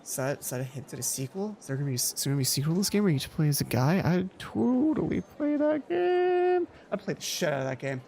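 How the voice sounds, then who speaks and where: An excitable, informal video game commentator who often breaks off and interrupts their own thoughts while narrating a playthrough. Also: medium pitch, quick pace, gravelly, few pauses, a little hiss or hum. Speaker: let's play host. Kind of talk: game commentary and read-aloud of a visual novel.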